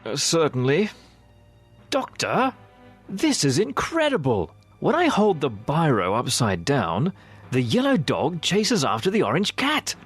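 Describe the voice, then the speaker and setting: Man playing a very animated polite Victorian doctor. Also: a little high, a little slow, breathy edge, faint music behind, exaggerated voice. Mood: amazed. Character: polite, wide-eyed Victorian expedition doctor. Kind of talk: audio drama acting.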